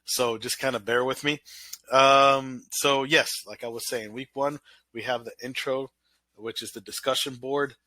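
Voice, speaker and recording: A man's voice: mid-range voice, fairly even intonation, unhurried, pushed and bright, no echo. Nasal man giving a casual class walkthrough. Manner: casual, rambling with many fillers and restarts. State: nasal from illness. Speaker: college instructor. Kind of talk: recorded online course walkthrough.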